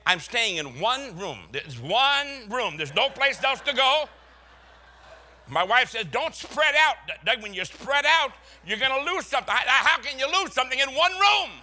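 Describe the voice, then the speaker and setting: A man's voice: very high-pitched, lively intonation, moderate pace, pushed and bright. Chuckling older Boston preacher man. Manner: folksy, chuckling storyteller. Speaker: pastor. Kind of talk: sermon with humorous stories.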